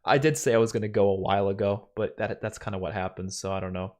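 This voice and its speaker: A young man with a light New York accent, hosting a casual live stream Q&A from a small home room, animated and sweary. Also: on the low side, fast. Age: young adult. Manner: animated, swearing, laughs a lot. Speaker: streamer host. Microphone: studio mic on boom, headphones.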